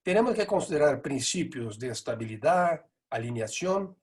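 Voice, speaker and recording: Portuguese-accented man lecturing, husky voice. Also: on the high side, expressive. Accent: Portuguese-accented Spanish with a Buenos Aires lilt. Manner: measured, explanatory, with frequent hesitations. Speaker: neurosurgery lecturer. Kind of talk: conference lecture.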